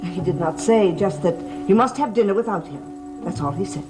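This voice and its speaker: A woman's voice: mid-range voice, normal speed, natural voice. A woman playing a devoted, anxious servant in an old gothic television soap, speaking with grave, urgent deference. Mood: formal, terse. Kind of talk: 1960s-70s gothic soap opera acting. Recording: old TV soundtrack with loud background music.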